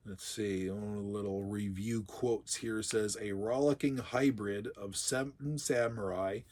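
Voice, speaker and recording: Raspy-voiced sick man chatting. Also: lowish voice, steady tone, measured pace, darkish tone. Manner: chatty, musing, laughs often. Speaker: collector vlogger. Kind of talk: casual unboxing monologue.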